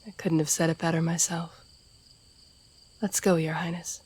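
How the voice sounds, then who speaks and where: Woman acting a low, even-voiced stern assassin. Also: moderate pace, slightly rough. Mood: solemn, devoted. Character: guarded, deadly masked royal assassin, devoted protector. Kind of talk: scripted fantasy audio roleplay. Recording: outdoor night ambience with crickets behind. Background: crickets and night nature ambience.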